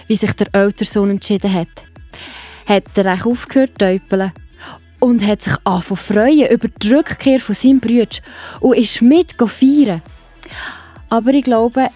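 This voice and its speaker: A woman's voice: medium pitch, dark tone. A woman with a Swiss German accent hosting and explaining a story to children in a scripted delivery. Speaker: host.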